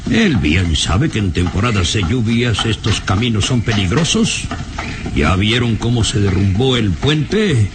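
A man's voice: on the low side, lively intonation, measured pace. A nervous professor in an old radio drama. He frets and grumbles with swooping, agitated intonation and hesitations, on a noisy vintage recording. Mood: indignant, concerned. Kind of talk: old Latin American radio serial acting.

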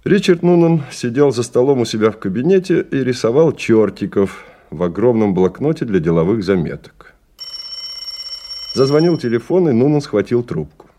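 A man narrating a novel in a radio drama as a measured, sober storyteller. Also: medium pitch, animated, dark tone, slight background noise, natural voice.

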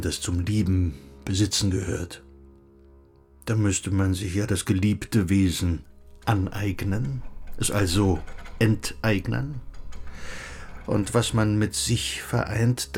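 Low, creaky-voiced musing recluse. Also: male voice, darkish tone, faint music behind. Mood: musing, lyrical. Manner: reflective, lyrical, measured. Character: wistful, gently philosophizing bookish recluse husband. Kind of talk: German radio play acting.

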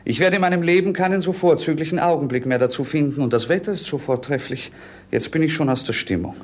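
An actor playing a young, world-weary romantic prince in lyrical, theatrical 1950s radio-play style. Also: male voice, a little high, fast, natural voice. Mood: petulant. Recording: noisy 1950s radio recording.

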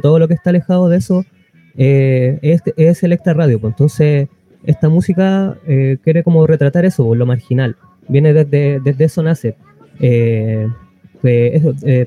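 A man with a Chilean accent talking as a musician guest in a casual interview, halting and colloquial. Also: a little high. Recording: dull lo-fi sound with music behind.

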